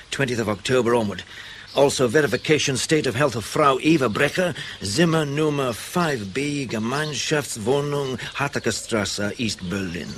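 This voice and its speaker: An actor playing an unflappable male intelligence officer in a radio drama, laconic, casual and quietly pressing. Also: medium pitch, slight background noise, lightly acted. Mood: businesslike.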